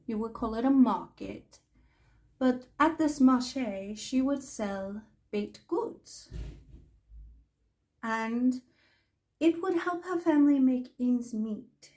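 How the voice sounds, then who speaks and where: A woman playing an imperious, mischievous older magical storyteller, telling fantasy tales in a theatrical, haughty way with deliberate pauses. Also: on the high side, darkish tone. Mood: wistful, storytelling. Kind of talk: in-character fantasy storytelling roleplay.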